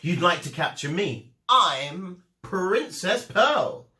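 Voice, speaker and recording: A kindly, plucky little girl princess in a storybook, as a man reads her, unhurried and varied in pitch. She is outspoken, and the room adds some echo. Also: big pitch swings, sharp tone, heavily acted. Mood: kind, soothing.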